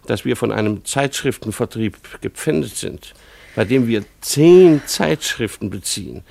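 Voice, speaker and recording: A harried family man in a radio play, a schoolteacher with a slightly gravelly, mid-pitched voice. He speaks earnestly and wryly, choosing formal words with pedantic care. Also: lively intonation, unhurried, darkish tone. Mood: wry, apologetic. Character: Hans, harried schoolteacher husband and father, earnest and wry. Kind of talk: German radio play dialogue.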